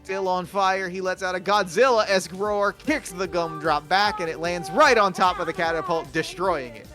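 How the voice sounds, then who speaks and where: A man narrating screenplay stage directions in a table read, brisk and matter-of-fact. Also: high voice, steady tone, music in the background.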